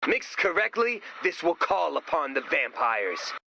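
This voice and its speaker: Casting: excitable game sidekick, very high and swooping, flippant and theatrical. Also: male voice, measured pace, vocal fry, a little hiss or hum, full character voice, rough recording. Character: goofy, excitable, deadpan-joking male sidekick. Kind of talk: video game voice lines.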